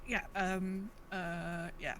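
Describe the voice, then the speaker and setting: Female podcast co-host, bright forward voice, animated casual chat, faint noise behind. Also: lowish voice, very slow. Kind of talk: casual chat about an online game.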